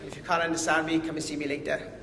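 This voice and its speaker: A man's voice: on the high side, monotone, rapid-fire. A man with a strong Welsh accent giving a conference presentation as a company director, a little nervous and self-deprecating. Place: conference hall. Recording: echoey hall with faint noise.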